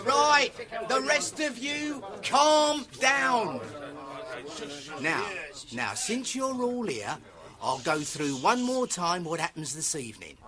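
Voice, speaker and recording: Male hotel manager, high voice, animated, flustered, bossy, brisk, British radio drama. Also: crowd noise, full character voice. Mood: urgent, bossy.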